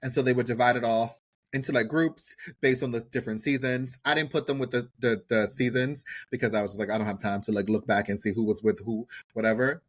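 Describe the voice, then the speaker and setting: A man's voice: medium pitch, steady tone, a little fast. A man co-hosting a casual recap podcast, talking in a slangy, emphatic way with free swearing and repeated phrases. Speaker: podcast co-host. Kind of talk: casual chat, TV show recap.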